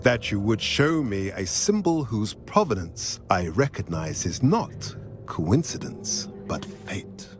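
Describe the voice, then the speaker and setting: A solemn centaur seer from a fantasy video game. The voice is low and moves slowly, with formal, oracular phrasing that rises and falls widely. He sounds wise and stately. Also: sing-song, music in the background. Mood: solemn, prophetic. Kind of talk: dramatic video game cutscene acting.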